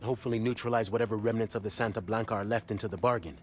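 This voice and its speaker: An actor playing an intelligence handler who gives a wry, informative rundown of a target. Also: male voice, lowish voice, fairly even intonation, very fast, soft background music, lightly acted.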